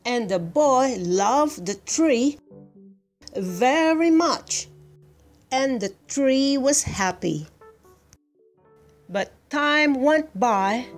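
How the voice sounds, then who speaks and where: A woman reads a children's picture book aloud at a slow pace. Her manner is gentle and measured, and soft music plays faintly behind her. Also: a little high. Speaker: storybook reader. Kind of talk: read-aloud children's story narration.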